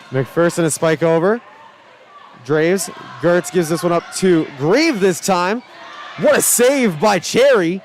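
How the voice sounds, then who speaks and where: A man calling high school volleyball play by play, shouting excitedly over rallies, his voice starting to go. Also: a little hiss or hum. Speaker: play-by-play announcer.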